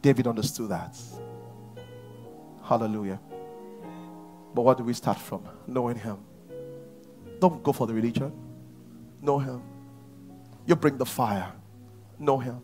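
A man preaching a sermon to his church, at times rising to shouts. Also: medium pitch, a little slow, pauses often, soft background music. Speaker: pastor.

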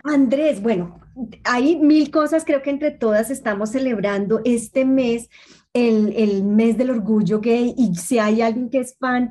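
A woman's voice: on the high side, mellow. A woman hosting an interview podcast in a conversational, effusive and admiring way.

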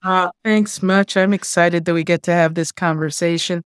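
A woman whose first language is Spanish, a guest on an interview podcast, answering in a measured, thoughtful way. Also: on the low side, fairly even intonation, clear, resonant tone, dry recording, studio quality. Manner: measured, thoughtful, speaks in long considered phrases. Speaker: guest, diversity executive.

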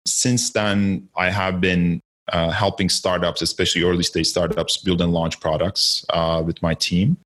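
A man's voice: sits low, flat delivery, measured pace, few pauses, a bit bright. A man in his forties from Turkey, a startup founder telling his story as an interview guest, reflective and often correcting himself mid-sentence. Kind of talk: conversational interview.

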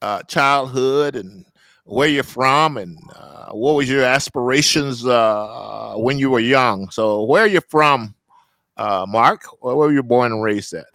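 A man hosting a casual podcast interview, speaking haltingly with many fillers. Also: mid-range voice, fairly bright tone, no room sound. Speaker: podcast host.

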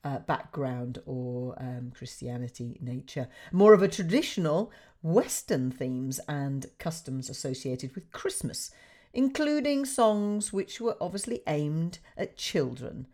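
A woman hosting a radio show in a chatty way, with a deep, husky voice made hoarse by a cold, laughing and filling pauses with ums. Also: sing-song, warm tone. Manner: chatty, laughing, with many fillers. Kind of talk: casual radio presenting.

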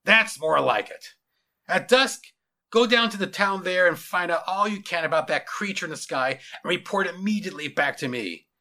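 Man performing a loud, domineering, zealous army general. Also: high voice, expressive, normal speed, voice pushed up, full character voice. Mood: stern, barking. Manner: commanding, declamatory, barking orders.

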